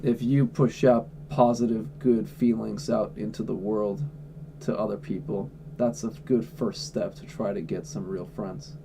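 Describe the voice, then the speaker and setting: A man's voice: lowish voice, steady tone, a little slow, creaky, noisy background. A man livestreaming from home, answering chat questions in a blunt, offhand way and swearing freely. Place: at home indoors.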